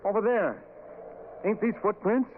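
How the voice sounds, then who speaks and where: A scared, superstitious townsman in a vintage radio horror play. His voice is fairly high and swings widely as he stammers and pleads, all on a tinny, hissy old recording. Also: expressive, measured pace. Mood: urgent. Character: frightened, superstitious townsman reluctantly following a doctor. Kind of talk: old-time radio horror drama acting.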